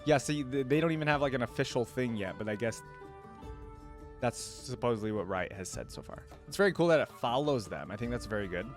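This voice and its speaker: A man's voice: medium pitch, expressive, brisk, faint music behind. A man hosting a reaction video, commenting casually, reading things out and talking directly to his viewers. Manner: conversational, addresses viewers directly. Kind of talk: casual commentary, reading on-screen text aloud.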